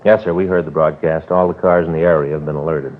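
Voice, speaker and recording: An actor playing a calm, polite police detective who asks questions in a deadpan, matter-of-fact way, in 1950s radio style. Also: male voice, very deep voice, fast talker. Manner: clipped, deadpan, courteous. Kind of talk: 1950s radio drama acting. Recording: old 1950s radio recording, narrow tinny band.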